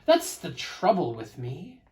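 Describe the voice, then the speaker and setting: A man's voice: fairly high pitch, huge pitch swings, slow pace, darkish tone, heavily acted. A man voicing a boastful, tyrannical turtle king from a storybook, pompous, bellowing and domineering. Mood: grumbling, ambitious.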